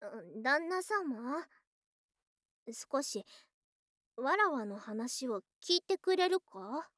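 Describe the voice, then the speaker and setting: Devoted lamia wife, high girlish voice, slow, coy old-fashioned speech, excitable, ASMR voice drama. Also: no echo, clean studio sound, heavily acted. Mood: tender, earnest. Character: affectionate female lamia snake-spirit wife, girlish yet old-fashioned. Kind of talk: Japanese ASMR situation voice drama.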